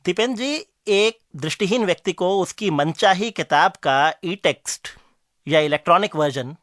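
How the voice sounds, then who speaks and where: A man's voice: high voice, moderate pace, a bit bright, no room sound. Male radio interviewer, formal questions, dry sound. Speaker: interviewer, show correspondent.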